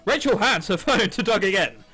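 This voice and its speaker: A man's voice: high voice, very animated, moderate pace, bright, forceful tone, breathy edge. A man reading a visual novel aloud as a gaming host, with amused, sarcastic, sweary asides, over game soundtrack music. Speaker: host of a gaming video series.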